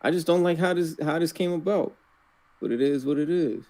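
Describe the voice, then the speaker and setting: A man co-hosting a casual podcast, giving wrestling picks in a relaxed, blunt way and repeating short phrases. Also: on the high side, fairly quick. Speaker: podcast co-host. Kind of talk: casual wrestling predictions chat.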